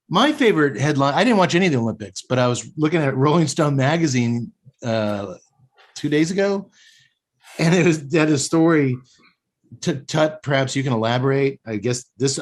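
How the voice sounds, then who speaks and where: Lively joking male podcast host. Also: mid-range voice. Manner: animated, joking, reads news aloud with wisecracks. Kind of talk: casual comedic banter.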